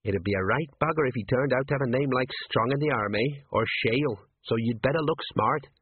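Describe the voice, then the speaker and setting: A man's voice: medium pitch, brisk, no room sound, close to an everyday voice. A man voicing an aging, worried watch sergeant with rambling, folksy working-class talk, on a dry, tinny recording. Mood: scheming. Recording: dry husky phone-like audio.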